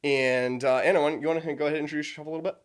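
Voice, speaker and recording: A man's voice: on the high side, fairly even intonation, very fast, clean tone. A young man hosting a church podcast as youth pastor, chatting casually and joking with his guest.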